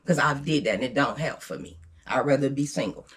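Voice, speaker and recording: A woman's voice: extremely low pitch, brisk. A woman in her fifties chatting casually and candidly, with a blunt, unapologetic and emphatic way of talking. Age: 50s.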